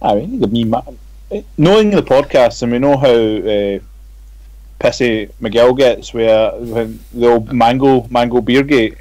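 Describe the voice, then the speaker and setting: A Scottish man chatting on a podcast, stumbling over his words and joking as he goes. His voice sits at a middle pitch. The sound is dry, with no room echo. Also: measured pace, a little mellow. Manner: hesitant, restarts a lot, jokey. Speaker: podcast co-host. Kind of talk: casual chat.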